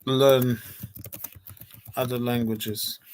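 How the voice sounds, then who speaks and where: Man, forties, Portuguese accent, unhurried pace, mid-range voice, halting unscripted stream talk. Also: mid-range voice, little pitch movement, a little hiss or hum. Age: 40s. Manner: hesitant, pauses often with restarts and fillers. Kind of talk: unscripted solo livestream chat.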